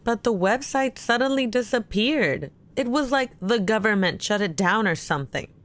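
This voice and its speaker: A woman playing a worried, caring and suspicious character in an audio drama, speaking naturally and earnestly with gentle insistence. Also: on the high side, lively intonation, normal speed, lightly acted. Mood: uneasy, curious.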